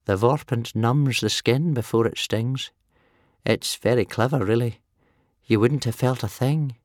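A man voicing a gloomy, ancient village healer and soothsayer in a storybook, with a wheezy croak and a slow, muttering manner. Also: mid-range voice, darkish tone, no room sound, studio quality, voice pushed up, lightly acted. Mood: muttering, grave. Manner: slow, muttering, tut-tutting.

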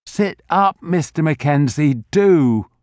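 A man's voice: on the high side, big pitch swings, takes it slowly, a little mellow, no room sound, studio quality, voice pushed up, exaggerated voice. A man doing a fretful old shopkeeper. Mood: anxious. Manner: fussing, worried, old-fashioned politeness. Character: anxious kindly old male corner grocer.

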